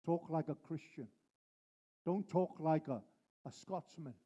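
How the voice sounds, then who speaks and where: A male pastor preaching a sermon, driving his points home with slow, repeated phrases. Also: a little high, mellow, lo-fi sound.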